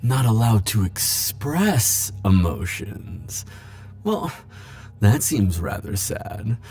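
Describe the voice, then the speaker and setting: Man playing low, slow, flirty immortal charmer. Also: sing-song, a little mellow, soft background music, exaggerated voice. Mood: teasing, gently probing. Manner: coy, theatrical, drawn-out teasing phrasing. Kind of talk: ASMR roleplay audio acting.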